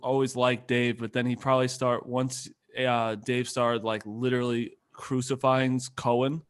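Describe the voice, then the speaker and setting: A man with an average-pitched voice chats as a podcast co-host. He tells stories in loose, animated sports banter and swears freely. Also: a little slow, smooth.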